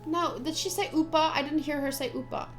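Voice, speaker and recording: A young woman streaming a visual novel, reading the text aloud with casual, gushy, expressive commentary. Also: high voice, brisk. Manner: expressive, gushy, slips into cute exclamations. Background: soft game music.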